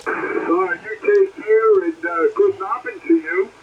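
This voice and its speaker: A man's voice: sits high, huge pitch swings, measured pace. A man from Brooklyn with a Brooklyn accent, talking bluntly and joshingly on ham radio. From Brooklyn, New York. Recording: distant, dull radio signal with faint noise.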